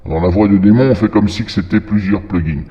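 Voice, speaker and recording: A man walks through software in a very low voice, processed by a demonic voice changer. Long reverb trails his words as he rambles and thinks aloud. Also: a little fast, highs cut off. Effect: demon voice changer: lowered pitch, reverb. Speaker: software tutorial host. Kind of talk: informal screen-recorded software tutorial. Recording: long echoing reverb from effect.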